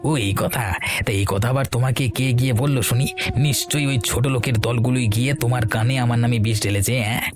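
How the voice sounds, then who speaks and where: A man's voice: medium pitch, fairly even intonation, fast, over music, close to an everyday voice. A man playing a proud, irritable rich shopkeeper in a cartoon story, talking in grumbling exclamations. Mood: irritated, scornful. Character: arrogant, irritable rich shopkeeper, a henpecked husband.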